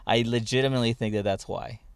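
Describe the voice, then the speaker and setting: A man's voice: mid-range voice, steady tone, quick pace, clear, resonant tone, no room sound. A young man hosting a podcast, telling stories in casual chat with lots of fillers and restarts. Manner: rambling storytelling, many restarts and fillers. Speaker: podcast host.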